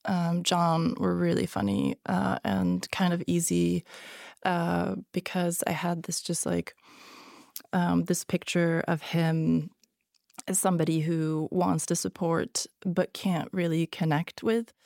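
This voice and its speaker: A woman writer, a native Hungarian speaker who grew up in Sweden, speaks in a low, gravelly voice. She talks haltingly, pausing often and restarting her sentences. The sound is dry and close. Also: little pitch movement, darkish tone, no echo. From born in Hungary, raised in Sweden. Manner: hesitant, many fillers and restarts. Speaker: author guest. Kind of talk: podcast interview.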